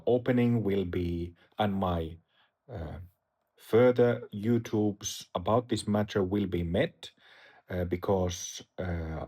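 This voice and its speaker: Finnish guy, middle-aged buddhist teacher, slow unsure talk, lotsa ums, lowish voice. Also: darkish tone, husky. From Finland. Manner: hesitant, halting, many fillers and restarts. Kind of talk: casual talk to camera.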